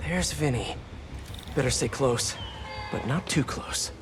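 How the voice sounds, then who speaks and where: A man's voice: mid-range voice, expressive, slow. A man voicing an earnest, wisecracking young American masked hero in a video game, muttering quips to himself in a naturalistic way. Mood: wry, focused. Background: music.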